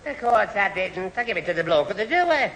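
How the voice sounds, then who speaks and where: Sharp-voiced gormless young man. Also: sits high, sing-song, a little fast. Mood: earnest. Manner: stammering, dithering. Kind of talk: 1970s British radio sitcom acting. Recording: old radio recording, faint hiss.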